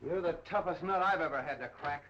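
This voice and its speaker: An actor playing a gruff, harried police captain in a 1930s crime film, brusque and bossy in clipped slangy phrases. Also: male voice, on the high side, a little fast, sharp tone, a little hiss or hum. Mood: weary, curt. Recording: dull 1930s film soundtrack.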